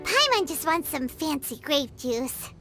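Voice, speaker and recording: Tiny game fairy mascot, childlike squeak, very high, hugely animated, chirpy, excitable, exclamatory. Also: female voice, a little slow. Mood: coaxing, chatty. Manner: refers to self in third person, exclamatory, expressive. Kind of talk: video game character dialogue. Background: game music.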